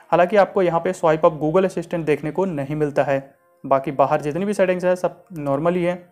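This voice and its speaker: An Indian man narrating a phone software review as a tech reviewer, with repetitive explanatory patter. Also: on the high side. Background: light background music.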